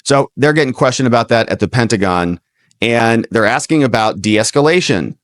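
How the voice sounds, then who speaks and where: A man's voice: mid-range voice, lively intonation, normal speed, dry recording, studio quality. An American man hosting political commentary, opinionated and given to rhetorical questions. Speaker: political commentator and show host. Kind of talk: livestream news commentary over played clips.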